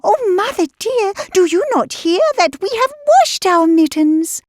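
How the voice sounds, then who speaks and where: A very high, squeaky little kitten, mewing and pleading in a nursery rhyme. The voice is young and whiny, with big swoops in pitch. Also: female voice, lively intonation, measured pace, darkish tone, no room sound, clean studio sound.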